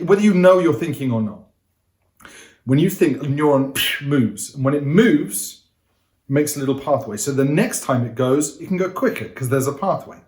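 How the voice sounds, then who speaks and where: A man giving an energetic, interactive lesson, coaxing listeners to join in and speaking to them directly. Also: fairly high pitch. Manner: upbeat, coaxing, addresses viewers directly. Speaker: teacher. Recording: roomy echo.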